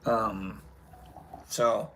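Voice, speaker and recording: A livestream host chatting casually in a rambling, filler-heavy way, giving affectionate shout-outs to viewers. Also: mid-range voice, fairly even intonation, very slow.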